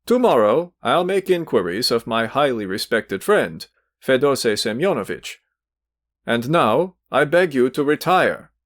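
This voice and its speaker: A man's voice: mid-range voice, big pitch swings, measured pace, no echo, studio quality, voice pushed up. A man reading aloud voices an irritable, pompous middle-aged suitor who speaks with stiff dignity. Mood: haughty.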